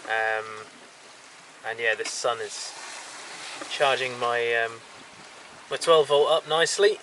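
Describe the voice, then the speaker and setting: A man filming a casual video diary while sailing solo, talking in a halting way full of ums and restarts. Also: medium pitch, a bit bright, low-quality recording. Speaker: solo sailor vlogger. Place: outdoors on a small sailboat at sea. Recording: wind and boat noise. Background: wind, water and boat sounds.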